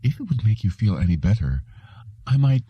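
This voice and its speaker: A man's voice: on the low side, sing-song, fairly quick, slightly rough, no echo, dull audio, voice pushed up, exaggerated voice. A man voicing a polite, nervous female receptionist with a placating manner in an audiobook. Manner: hesitant, placating.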